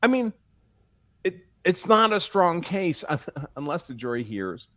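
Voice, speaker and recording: A man's voice: high voice, sing-song, a little slow, bright, forceful tone, dry recording, studio quality. A man arguing emphatically in a live panel discussion as a legal commentator, posing rhetorical questions and repeating points for stress. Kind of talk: argumentative live discussion of a court case.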